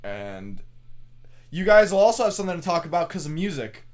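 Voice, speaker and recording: A young man co-hosting a podcast in casual banter, with an animated, joking manner and plenty of swearing. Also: a little high, brisk. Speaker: podcast co-host.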